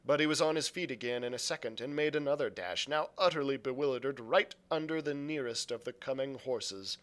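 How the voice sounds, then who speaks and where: Bright-voiced man reading a story. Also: mid-range voice, a little fast. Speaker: librarian reading a novel aloud. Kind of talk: dramatic storybook narration.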